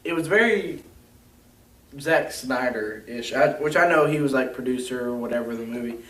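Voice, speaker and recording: A man's voice: medium pitch, normal speed, fairly bright tone. A young man co-hosting a casual movie review, chatty and loose, saying "like" a lot. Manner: chatty, lots of "like", acts out scenes. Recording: echoey room, mic far off.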